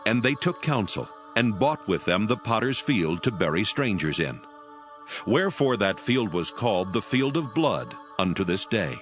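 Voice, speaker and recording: A man narrating scripture in a steady, formal reading style. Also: lowish voice, normal speed. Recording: narration over music.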